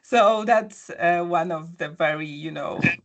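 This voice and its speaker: A woman's voice: fairly low pitch, slow. Woman telling her job story. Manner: storytelling, frequent fillers and restarts. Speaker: interview guest. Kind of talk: conversational interview answer.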